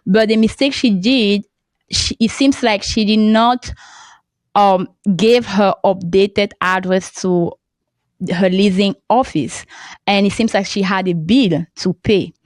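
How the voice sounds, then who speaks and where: A young woman from Cameroon, a French speaker with a Cameroonian accent, talking as a podcast guest in a halting way and laughing often. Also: mid-range voice, fairly even intonation, darkish tone, no echo. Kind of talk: casual interview talk.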